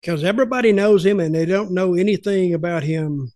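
A man's voice: high voice, brisk, mellow, no room sound, studio quality. An older man, telling folksy, opinionated stories in casual chat over a video call from home. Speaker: podcast host and storyteller.

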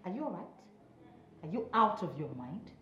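A woman's voice: lowish voice, sing-song, measured pace, sharp tone, a little hiss or hum, full character voice. An actress playing a haughty, cold, demanding young girlfriend in a film drama, imperious and scolding. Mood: affronted, scolding. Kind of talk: Nollywood-style film drama acting. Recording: echoey room, muffled, faint music.

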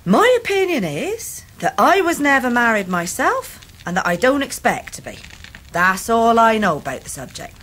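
An actress playing a warm, plain-spoken country nursemaid with a rural English accent in a radio drama. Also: female voice, on the high side, very animated, normal speed. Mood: affectionate.

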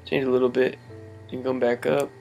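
A young man at a home mic gives a loose, rambling tour of his game world. His pitch sits in an ordinary middle range, and he talks in an easygoing way, trailing off and circling back often. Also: flat delivery, brisk, gravelly, darkish tone, faint music behind. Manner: rambling, laid-back, many fillers and laughs. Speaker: gaming channel host. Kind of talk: casual game walkthrough commentary.

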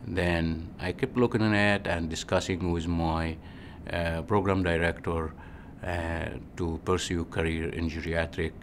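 A man in his forties speaks with an Egyptian accent in a very low, deep voice. He answers interview questions slowly and haltingly, often restarting and adding "you know." A faint hum of room noise sits behind him. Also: flat delivery. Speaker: physician.

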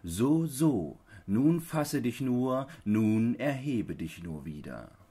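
Man playing a slow, husky, pitying eccentric. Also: mid-range voice, big pitch swings, dark tone, pitched above the performer's own voice, heavily acted. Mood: tender pity. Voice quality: mild, trembling, husky. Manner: slow, tenderly consoling. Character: pitying, doting, lonely eccentric man with a trembling voice.

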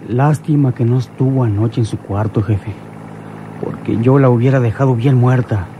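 Man doing a mexican henchman, mellow dark voice, obedient, dull old radio sound with engine hum. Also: mid-range voice, moderate pace, natural voice. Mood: cold, eager. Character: obedient henchman driver, cold-blooded and deferential.

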